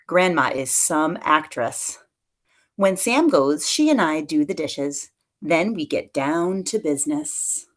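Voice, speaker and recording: A woman reading a children's picture book aloud in an expressive storytelling manner, like a teacher to pupils. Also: low-pitched, slow. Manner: expressive storytelling, acts out dialogue lightly.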